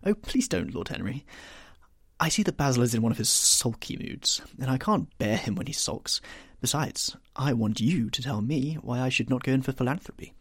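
A man voicing a charming, petulant young gentleman who speaks eagerly. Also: mid-range voice, animated, normal speed, husky, no room sound, clean studio sound. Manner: eager, pleading.

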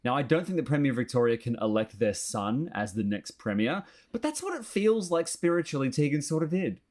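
A man's voice: mid-range voice, big pitch swings, a little fast, darkish tone. An Australian man chatting casually as a podcast host, jokey and effusive in manner.

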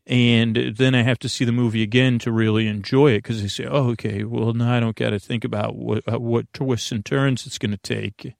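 Creaky-voiced man, rambling and meandering. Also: mid-range voice, fairly even intonation, no echo, clean studio sound. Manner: meandering, halting, circles back and restarts sentences; voices imagined listener objections and answers them. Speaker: podcast host. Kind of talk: rambling, unscripted sleep podcast introduction.

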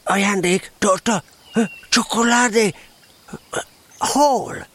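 A man's voice: high voice, a bit bright. A man playing a naive, cheerful, kindly little bear cub in an audio drama, speaking in a simple, eager, childlike way. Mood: puzzled, earnest.

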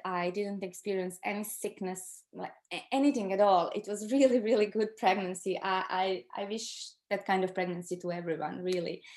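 A middle-aged woman with a Croatian accent and a mid-pitched voice. She speaks as a guest sharing her own experience in conversation. Her English is a second language, and she often halts to find the right word. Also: a little mellow. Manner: halting, searching for words in second-language English. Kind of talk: conversational personal storytelling.

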